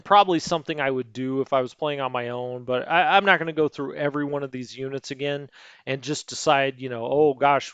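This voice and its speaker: A man's voice: medium pitch, fairly bright tone, clear, resonant tone, no room sound, highs cut off. A man hosting a strategy game let's play, thinking aloud in a rambling, hesitant way with lots of fillers and second-guessing. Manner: rambling, self-questioning, hesitant, many fillers.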